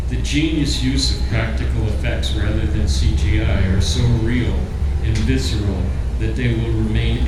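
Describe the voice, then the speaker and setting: A man reading a film review aloud at a live event in an echoing, noisy room. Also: fairly low pitch. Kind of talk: public reading at a book launch. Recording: very noisy, echoey hall.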